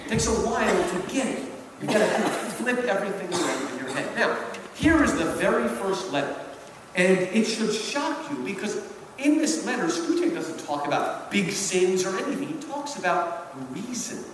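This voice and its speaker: A man lectures in an animated, theatrical way with a high voice. His pitch moves widely as he stresses his points. He is picked up from a distance in a very echoey hall. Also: moderate pace. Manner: emphatic, theatrical storytelling. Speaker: lecturer and college teacher. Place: large indoor hall at a public event. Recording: very echoey hall from far off, faint noise.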